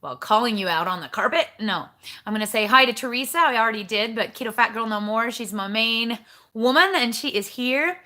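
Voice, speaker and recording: A woman's voice: a little high, fairly quick, bright, forceful tone. A woman hosting a casual livestream from home, chatting with viewers and greeting them by name in a bubbly, rambling way. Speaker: livestream host. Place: home kitchen.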